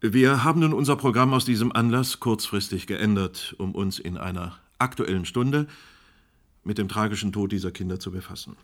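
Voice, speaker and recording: A man's voice: fairly low pitch, moderate pace, natural voice. An actor playing a formal, courteous but firm male moderator of a live crisis panel in a German radio drama. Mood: composed, firm.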